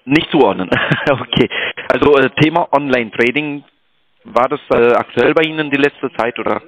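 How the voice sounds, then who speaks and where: A man's voice: medium pitch, normal speed, lo-fi sound. A man making a polite sales call, deferential, apologetic and hesitant in the way he talks. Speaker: cold-calling sales agent.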